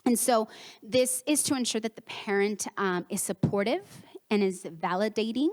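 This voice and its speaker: A woman's voice: mid-range voice, normal speed, darkish tone. A woman in her thirties, a therapist whose first language is Spanish, giving a conversational conference talk with acted-out quotes. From United States, Mexican home. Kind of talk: conference presentation. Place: conference hall. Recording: reverberant conference hall.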